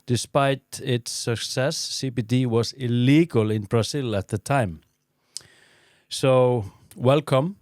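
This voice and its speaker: Male podcast host, measured, mid pitch, dry close sound. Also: a little mellow, no room sound. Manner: measured, with frequent listening murmurs. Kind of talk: interview hosting.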